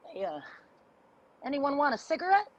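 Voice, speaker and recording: A woman's voice: high-pitched, big pitch swings, normal speed. An actress playing a streetwise, chatty homeless woman in a dramatic reading, heard on dull, muffled audio. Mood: reassuring, casual. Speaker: actor.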